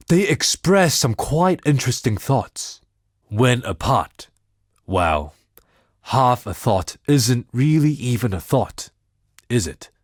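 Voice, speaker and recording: Brooding game mystic: solemn, cryptic, lowish voice, very slow portentous delivery. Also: male voice, dry recording, clean studio sound. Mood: dry, wry. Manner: measured, portentous, wryly philosophical. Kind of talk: dramatic scripted video game monologue.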